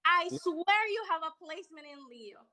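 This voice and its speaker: A woman's voice: very high-pitched, expressive, normal speed, pushed and bright. A woman from Puerto Rico, a professor, chatting on a live stream in a bubbly, teasing way. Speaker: professor, podcast guest. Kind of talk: casual live-stream chat.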